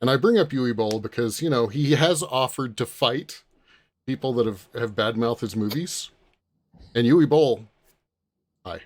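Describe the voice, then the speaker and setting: A man hosting a panel podcast in a casual, conversational way, often pausing to find his words. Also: mid-range voice, darkish tone. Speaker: podcast host leading a panel.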